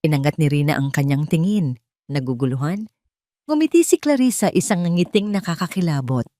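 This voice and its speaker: Low, mellow woman narrating romance drama. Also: expressive, brisk, no echo, studio quality.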